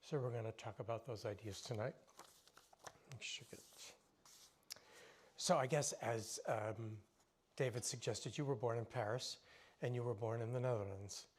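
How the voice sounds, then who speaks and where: A man's voice: medium pitch, normal speed, pauses often, a little hiss or hum. Man, mid-range voice, moderator, conversational, asks questions, light fillers. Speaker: moderator and author. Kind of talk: panel discussion.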